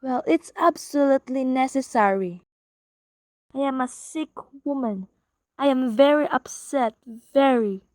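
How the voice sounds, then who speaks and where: A high-voiced, nervous invalid wife, acted by a woman, imperious and easily frightened. She pleads and demands in suspense radio-play style, recorded close and dry. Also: measured pace, a little mellow, no echo. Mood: upset, insistent.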